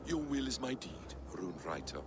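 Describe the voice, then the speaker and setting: An actor playing a sly, proud, defiant trickster god with theatrical, smooth-tongued delivery in a video game. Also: male voice, medium pitch, big pitch swings, moderate pace, background noise, natural voice. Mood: sly, persuasive. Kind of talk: video game cinematic acting.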